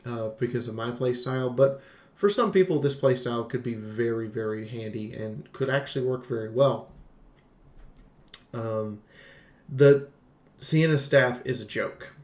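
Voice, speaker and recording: Male game reviewer, mid-pitched, rambling and casual with animated slangy asides, recorded in an echoey room. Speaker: video game reviewer and streamer. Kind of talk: casual unscripted game commentary.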